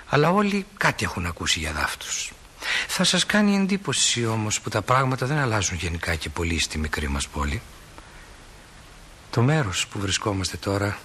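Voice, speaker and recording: A folksy small-town stage narrator with a low, crisp-edged voice. He muses to the audience with gentle, reflective wryness. A faint layer of noise sits behind him. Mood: calm, descriptive. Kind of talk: theatrical narration addressed to the audience.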